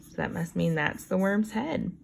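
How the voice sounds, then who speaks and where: A woman teacher reading a children's book aloud, reading deliberately and adding chatty, wondering asides about it. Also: lowish voice, lively intonation, gravelly, a little mellow, a little hiss or hum.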